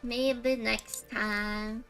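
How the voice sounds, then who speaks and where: Bright, unhurried gushing woman streamer. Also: on the high side, fairly even intonation, faint music behind. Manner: gushing, giggly, reacting aloud to the game. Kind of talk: casual livestream game chat.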